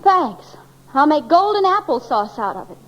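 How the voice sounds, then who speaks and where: An actress playing a spirited, teasing young small-town sweetheart in a 1940s radio drama. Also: female voice, high voice, big pitch swings, normal speed, fairly bright tone. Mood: wistful. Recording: dull old radio recording, faint noise.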